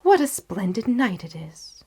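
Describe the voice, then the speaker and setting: A woman reading the part of a confident, determined gentleman detective in a storybook, with emphatic, articulate delivery. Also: mid-range voice, big pitch swings, a little slow, gravelly, breathy edge, heavily acted. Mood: amused, confident. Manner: emphatic, articulate, self-assured.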